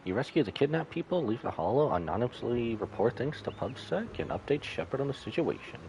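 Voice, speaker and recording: A man's voice: medium pitch, sing-song, brisk, creaky, darkish tone, a little hiss or hum, poor audio. A man streaming a game, reading story text aloud and commenting, sometimes stumbling and correcting himself. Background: game music.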